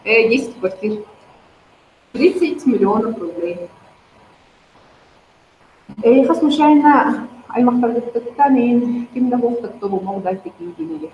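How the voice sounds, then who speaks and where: A woman's voice: a little high, lively intonation, unhurried, pauses often, a little hiss or hum. Woman, persuasive and roomy. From Yakutia. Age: 30s. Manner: persuasive, addresses viewers directly. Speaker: network marketing promoter. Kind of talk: persuasive talk to viewers. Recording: roomy, slightly dull recording.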